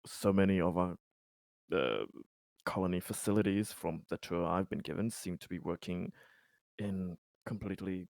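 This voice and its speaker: A man playing a formal, halting colony official, low-voiced. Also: vocal fry, a little mellow, dry recording. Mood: hopeful, deliberate. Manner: halting, wordy, formal corporate phrasing. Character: formal, diplomatic male colony official, earnest and optimistic. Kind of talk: improvised tabletop roleplay dialogue.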